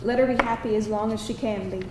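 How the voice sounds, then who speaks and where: A fairly high voice of a woman acting a troubled young mother in a dramatic reading. The room is echoey and there is clear background noise. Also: steady tone, fast, lightly acted. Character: troubled mother in her thirties, speaking to family. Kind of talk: live screenplay table read. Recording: echoey, noisy live stage reading.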